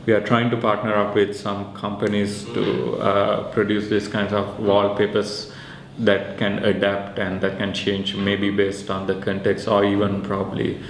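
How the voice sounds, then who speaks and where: A man with a Sri Lankan accent explains his work in a hesitant, informal way. His voice is on the low side with very flat intonation, recorded in an echoey room. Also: measured pace, vocal fry. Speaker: researcher and maker presenting a project. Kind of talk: informal explanatory interview talk. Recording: echoey room with faint background noise.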